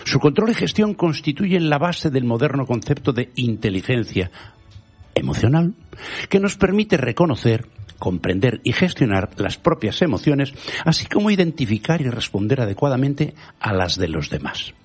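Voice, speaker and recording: A man whose first language is Galician, hosting a radio show with a measured, rhetorical scripted editorial. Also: medium pitch, sing-song, slightly rough, faint music behind. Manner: measured, rhetorical, quotes proverbs and thinkers.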